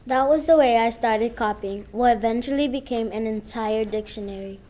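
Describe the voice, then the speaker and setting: A woman's voice: fairly high pitch, normal speed, mellow. A young teenage girl, a middle school student, reading dramatically from a text. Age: young teen, middle school student. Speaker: student reader.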